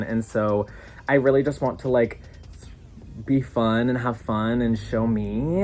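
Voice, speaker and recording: A drag competitor speaking in a confessional interview, expressive and self-deprecating and swearing casually. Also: mid-range voice.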